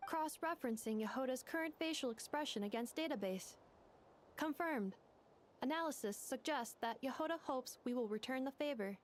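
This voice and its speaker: High-voiced, precise robot girl. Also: animated, normal speed, a little hiss or hum. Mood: calm, analytical. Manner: formal, clipped, literal machine phrasing. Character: polite, analytical female robot assistant. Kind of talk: video game dialogue.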